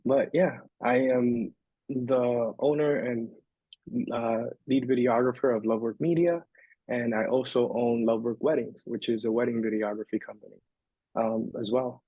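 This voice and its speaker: Husky guy from the dominican republic, rambly and easygoing, kinda muffled dry sound. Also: mid-range voice, steady tone, normal speed, no echo. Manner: loose and rambling, many fillers and restarts. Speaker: podcast guest, videographer. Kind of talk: casual interview storytelling.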